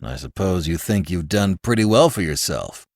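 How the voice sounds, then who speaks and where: A man voices a gruff older millionaire father who grumbles in curt, bitter phrases. The voice drops low and quiet. The sound is dry and close. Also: expressive, normal speed, no room sound.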